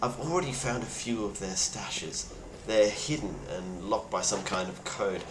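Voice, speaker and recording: Male actor as weary apocalypse survivor, lowish voice, blunt and grave video testimony, noisy roomy sound. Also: lively intonation, unhurried. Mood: earnest, advising. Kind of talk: in-character monologue, found-footage video diary. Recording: roomy echo with clear background noise.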